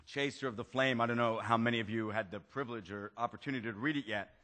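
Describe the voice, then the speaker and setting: A man's voice: medium pitch, fast talker, sharp tone. A man speaking on a conference panel in a conversational, reflective way.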